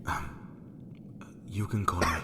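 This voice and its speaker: A man playing a reserved, courteous lord in an audio drama, speaking formally and haltingly. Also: low voice, steady tone, noisy background. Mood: awkward, hesitant. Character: reserved, courteous male lord, awkward and hesitant in love. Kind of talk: fan audio drama acting.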